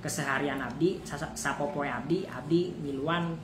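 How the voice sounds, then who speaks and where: A man in his early twenties from Banten, Indonesia, a native Sundanese speaker, talking haltingly as a student interview guest. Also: a little high. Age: early 20s. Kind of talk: halting self-introduction and explanation. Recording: echoey room, loud background noise.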